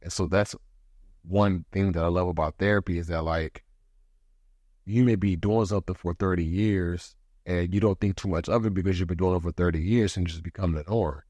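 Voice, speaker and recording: A man in his thirties hosting a solo podcast, talking reflectively with pauses, his voice a bit congested from allergies. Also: deep voice, fairly even intonation, a little mellow, no room sound. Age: 30s. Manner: reflective, pauses to gather thoughts. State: congested from allergies, voice deeper than usual. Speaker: podcast host. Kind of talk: casual solo podcast monologue.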